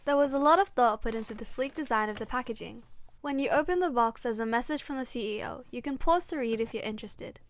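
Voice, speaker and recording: A woman's voice: fairly high pitch, quick pace, a little hiss or hum. A woman reading a scripted unboxing narration as the reviewer of a product.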